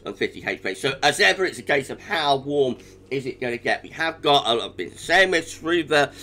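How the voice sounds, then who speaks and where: A man with a British accent, croaky today, giving a chatty weather forecast over charts with frequent little laughs. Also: mid-range voice, expressive, brisk, bright, forceful tone. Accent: British English. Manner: chatty, laughs often, restarts.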